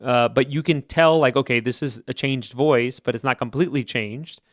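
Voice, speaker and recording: A man talks through choir voice types in a relaxed, explanatory podcast chat, often hedging his points. His voice sits at an ordinary middle pitch. The sound is dry and close, with no room around it. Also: normal speed, dry recording. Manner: thoughtful, explanatory, hedging with "I would say". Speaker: podcast co-host, choir teacher.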